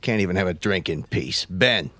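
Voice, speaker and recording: An actor playing a surly, threatening gangster boss in a radio drama, gruff, commanding and dismissive. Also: male voice, low-pitched, expressive, moderate pace, fairly bright tone. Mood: menacing.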